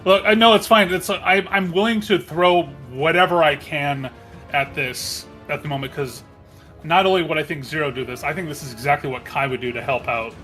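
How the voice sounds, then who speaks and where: Man, bright tone, slightly high pitch, animated gamer chat, profane, self-deprecating. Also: brisk. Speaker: tabletop game player. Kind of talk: casual game chat. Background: background music.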